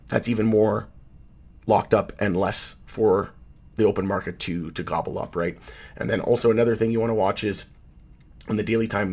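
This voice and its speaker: A man with a somewhat low, hoarse voice hosts a casual commentary on market charts. He rambles easily, leans on fillers and stops to correct himself mid-sentence. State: hoarse, losing his voice. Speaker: channel host, market commentator.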